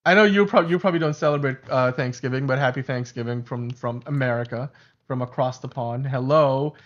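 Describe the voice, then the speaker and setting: Man, native Urdu speaker, chatty livestream co-host, laughs a lot, mid pitch, dull lo-fi audio. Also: a little fast. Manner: chatty, self-correcting, laughs often. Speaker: podcast co-host. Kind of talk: casual livestream chat.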